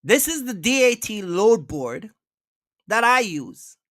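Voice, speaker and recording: Male livestream host, emphatic and repetitive, bright voice, dry close sound. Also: high-pitched, big pitch swings, takes it slowly, dry recording. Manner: emphatic, repetitive, keeps asking viewers for likes. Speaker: trucking channel host. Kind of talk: casual livestream rant.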